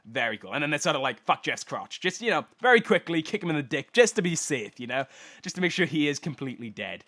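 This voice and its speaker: Stuffy-nosed young man, lively commentary. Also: on the high side, fast. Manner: rambling, self-deprecating, stumbles over names. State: blocked nose from a cold. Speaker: host commentating game replays. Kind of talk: casual replay commentary.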